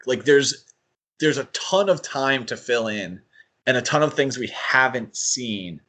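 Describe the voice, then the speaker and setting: A man's voice: medium pitch, animated, measured pace, sharp tone. A man co-hosting a podcast, thinking aloud in a casual fan discussion and often qualifying what he says. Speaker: podcast co-host.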